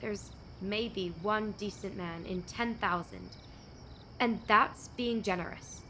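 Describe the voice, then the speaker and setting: A woman's voice: mid-range voice, slow pace, a bit bright, slight background noise. A young woman acting a proud, bitter, fiercely independent young woman in a radio play, guarded and terse. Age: young adult.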